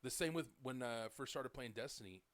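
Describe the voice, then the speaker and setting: A man's voice: mid-range voice, fairly quick, a bit bright, no echo. A man in his late thirties hosting a podcast, telling stories in a loose, rambling way full of "like" and "dude". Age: late 30s. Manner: rambling storyteller, lots of "like" and "dude". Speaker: podcast host. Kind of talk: casual podcast chat.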